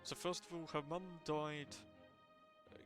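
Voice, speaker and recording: A man giving casual live commentary on a game, halting as he thinks aloud. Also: a little high, flat delivery. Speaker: game streamer. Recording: game music behind the voice. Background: video game music.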